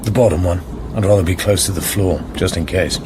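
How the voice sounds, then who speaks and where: A man's voice: fairly quick, close to an everyday voice. A man playing a cool, guarded secret agent in a radio drama, speaking in a terse, controlled way. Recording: noisy radio drama, train rumble behind.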